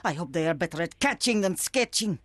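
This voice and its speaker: An actress playing a fussy, excitable shopkeeper woman in a video game. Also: fairly low pitch, fairly quick.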